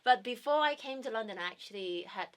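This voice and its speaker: A woman's voice: fairly high pitch, animated, fast. A young woman from Hong Kong, a guest in an interview, talking casually about her acting work with many fillers and restarts. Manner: chatty, many fillers and restarts, laughs easily. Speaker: guest, actor and drama teacher.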